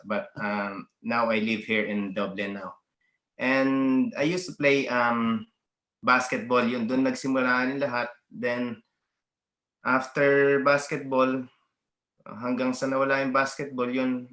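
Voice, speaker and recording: A man's voice: medium pitch, fairly bright tone, echoey room. A man from the Philippines, a guest athlete talking casually on a video call, hesitant, with many fillers and restarts. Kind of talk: casual interview, mixing Tagalog and English.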